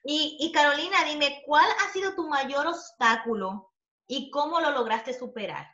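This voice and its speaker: A woman's voice: sits high, measured pace, sharp tone. A young adult woman hosting an upbeat, conversational interview as a coach over a video call from a room at home. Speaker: host, coach. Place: small home room, indoors. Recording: muffled, roomy video call audio.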